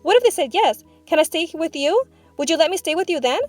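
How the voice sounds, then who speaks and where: A woman's voice: very high-pitched, lively intonation, fast talker, a little mellow, dry recording, faint music behind, voice pushed up. A woman voicing a passionate, headstrong small schoolgirl in a storybook, with urgent, pleading delivery.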